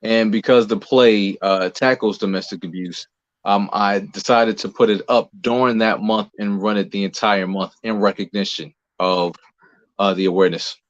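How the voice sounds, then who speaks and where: An American man answering interview questions conversationally as a guest, explaining in a measured way with pauses between phrases. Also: lowish voice, steady tone, dry recording, muffled recording. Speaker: playwright, director and actor interviewed as guest.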